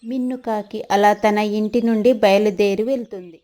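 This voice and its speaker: A woman's voice: mid-range voice, steady tone, moderate pace, warm tone. A woman narrator reading a scripted children's story.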